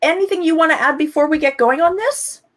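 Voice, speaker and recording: A woman's voice: high-pitched, fast, a bit bright. A woman hosting an interview, introducing and prompting her guest. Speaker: interview host.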